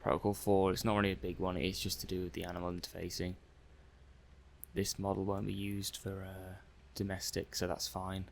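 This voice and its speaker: A man's voice: low-pitched, monotone, brisk, vocal fry, a little hiss or hum. A man playing a mild, methodical robot-testing technician in an ASMR roleplay, muttering hesitantly and matter-of-factly to himself. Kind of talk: soft-paced ASMR roleplay monologue.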